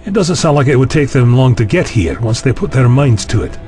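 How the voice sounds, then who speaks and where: A man's voice: medium pitch, lively intonation, a little fast, dark tone, soft background music, close to an everyday voice. A man voicing a sardonic, skeptical young official in an audio drama, dry and sarcastic.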